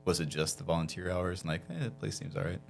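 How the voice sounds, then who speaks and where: A man's voice: sits low, fast talker, vocal fry, soft background music. A man hosting a podcast, asking questions in a casual interview style. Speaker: podcast host.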